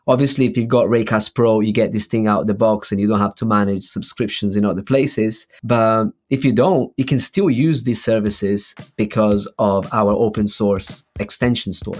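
A man's voice: lowish voice, darkish tone. A man in his thirties hosting a casual video-call interview from a home office, explaining things in an upbeat way. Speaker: company host interviewing a user.

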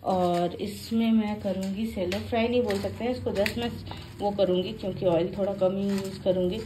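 Young woman, Indian Hindi accent, mid-pitched, chatty step-by-step home vlogger on a phone mic with light background noise. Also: steady tone, moderate pace. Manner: chatty, explains step by step to viewers. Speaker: family vlogger. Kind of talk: casual home vlog narration. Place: home kitchen and bedrooms, indoors. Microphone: handheld phone at arm's length.

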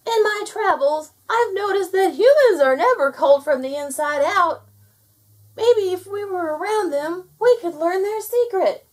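A woman voicing a shivering, curious sea otter who chatters and yells in a children's story. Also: extremely high pitch, huge pitch swings, takes it slowly, voice pushed up, heavily acted, rough recording. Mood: eager, loud. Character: shivering, friendly, inquisitive sea otter in plaid scarf.

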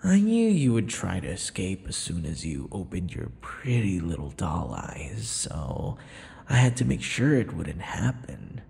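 A man's voice: deep voice, wildly swooping pitch, a little slow, vocal fry, darkish tone, exaggerated voice. A man voicing a possessive, teasing, vain vampire captor in an intimate ASMR roleplay, theatrical and taunting, slipping between murmurs and whispers. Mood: smug, tender menace. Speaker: audio roleplay performer. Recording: long reverberant echo.

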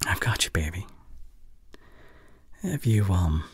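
An anxious, protective young boyfriend, acted by a man in an intimate ASMR roleplay. His voice is breathy and husky and moves around a lot in pitch. He speaks haltingly and at an unhurried pace, tender and devoted. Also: very animated, dry recording, full character voice. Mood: tender, reassuring. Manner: intimate, halting, breathy sighs, stammering when flustered.

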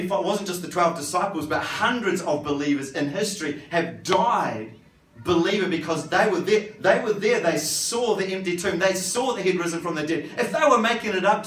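A man in his forties preaching an animated sermon to a congregation in a church hall, speaking into a handheld mic. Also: fairly high pitch. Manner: animated, gesturing, rhetorical questions. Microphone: handheld mic, filmed from the audience. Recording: roomy hall, distant phone, some noise.

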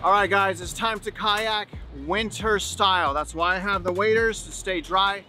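A man's voice: sits high, moderate pace, pushed and bright, faint music behind. A man in his forties talking casually to viewers as host of an outdoor fishing vlog, repeating himself for emphasis. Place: outdoors on an open beach and in a kayak at sea.

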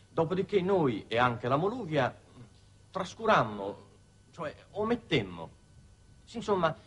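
An actor playing a deferential undersecretary making a careful, formal, hesitant report in a 1960s Italian television drama. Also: male voice, a little high, a bit bright. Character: deferential bureaucratic male undersecretary reporting on Venus. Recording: noisy dated 1960s television soundtrack.

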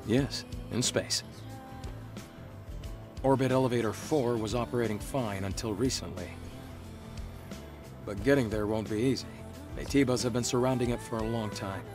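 A man voicing a practical scout from a video game, giving calm mission briefings. His voice is medium-pitched, with clear music underneath. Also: moderate pace, long pauses, natural voice. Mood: focused, calm. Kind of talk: cinematic video game dialogue.

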